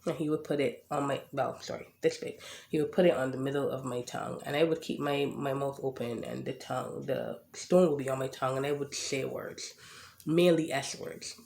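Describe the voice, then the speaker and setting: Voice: medium pitch, brisk, vocal fry, darkish tone. Stuttering podcast host in an echoey room. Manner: speaks with a stutter, occasional word repetitions; slight lisp. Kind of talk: casual personal monologue.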